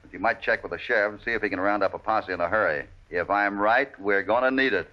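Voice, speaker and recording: An actor playing a shrewd, commanding cowboy hero in an old-time radio western. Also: male voice, fairly low pitch, quick pace, noisy background, close to an everyday voice. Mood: urgent, decisive. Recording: muffled vintage radio recording.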